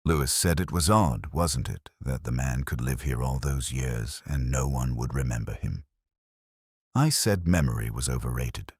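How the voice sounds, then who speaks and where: A man narrating a first-person detective story in a measured, literary, reflective way. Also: very deep voice, animated, a little mellow, slightly rough, no echo, studio quality.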